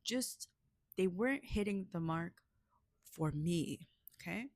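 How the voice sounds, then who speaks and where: Woman, low gravelly voice, casual and earnest, rambles and checks in with listeners; dry close recording. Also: animated, slow pace, a little mellow, no room sound. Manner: rambling, earnest, frequent "okay?" check-ins. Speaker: storyteller reflecting on a fable. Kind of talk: casual spoken reflection to listeners.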